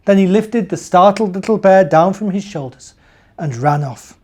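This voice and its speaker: Mellow-voiced man reading to children. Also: high-pitched, moderate pace. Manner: asks the young listeners questions. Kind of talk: children's story time, narration and gentle talk.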